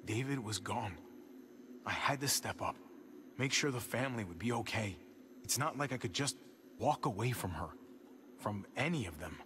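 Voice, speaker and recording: A man's voice: mid-range voice, expressive, moderate pace, long pauses, fairly bright tone, slight background noise, natural voice. An actor playing an earnest, protective American man surviving in a video game drama, speaking sincerely and firmly. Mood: defensive. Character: earnest, protective young survivor, loyal younger brother.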